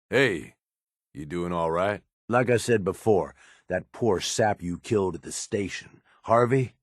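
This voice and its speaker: Gruff, low-voiced American game raider. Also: male voice, dry recording, clean studio sound, exaggerated voice. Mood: attentive, friendly, then blunt, insistent. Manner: blunt, folksy, profane, world-weary. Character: gruff, cynical middle-aged raider, plain-spoken and loyal to his boss. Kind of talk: video game companion dialogue.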